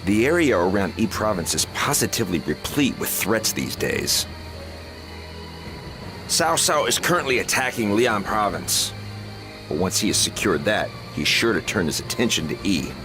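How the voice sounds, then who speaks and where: A man playing a sharp-tongued, scornful but loyal strategist in a dramatic video game, speaking bluntly and articulately. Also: fairly low pitch, sing-song, moderate pace, music in the background. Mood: urgent, knowing.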